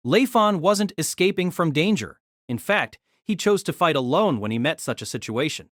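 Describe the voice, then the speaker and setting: Female narrator for novel audio drama. Lively, varied intonation, dramatic storytelling, dry close sound. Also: deep voice, moderate pace, dry recording.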